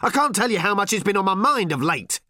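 A man's voice: sits high, sing-song, quick pace, dry recording, studio quality, pitched above the performer's own voice, exaggerated voice. A man doing Arthur, a frazzled, bellowing everyman. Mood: sarcastic, exasperated. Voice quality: exasperated, shouting sarcastic rants. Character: frazzled sarcastic middle-aged Englishman, man.

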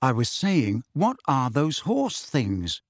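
A man playing an earnest teenage boy hero, urgent and halting in his confusion, in an audiobook. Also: medium pitch, wildly swooping pitch, no echo, clean studio sound, full character voice. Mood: puzzled, impatient. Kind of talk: audiobook character acting.

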